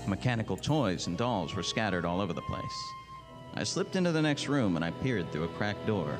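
Hard-boiled noir gumshoe played by a man, terse and world-weary, lively swings in pitch, loud score underneath. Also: close to an everyday voice. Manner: terse, tough-talking. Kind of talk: 1940s radio noir narration and acting. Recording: loud music behind the voice.

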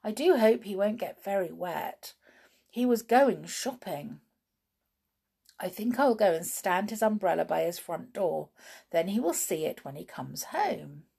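A woman voicing a caring little female toy who speaks softly and with concern. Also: mid-range voice, animated, a little slow, vocal fry, breathy edge, heavily acted. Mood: warm.

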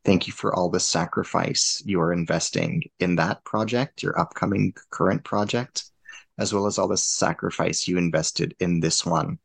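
Deep, gravelly podcast host, man. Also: fairly even intonation, normal speed, no room sound. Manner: earnest, effusive thanks. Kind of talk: podcast interview.

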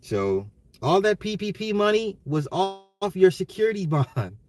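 Higher-voiced American man, livestream rant. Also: sing-song, a little slow, a little mellow. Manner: emphatic, repeats phrases, slangy, swears, chuckles. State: voice getting slightly hoarse. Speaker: livestream host. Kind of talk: casual opinionated livestream rant.

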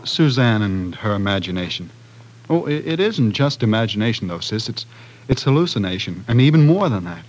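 An actor playing an easygoing, protective brother in an old-time radio drama, gentle and slightly halting. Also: male voice, fairly low pitch, very animated, poor audio. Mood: worried. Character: easygoing, protective, concerned older-brother type. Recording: vintage radio broadcast.

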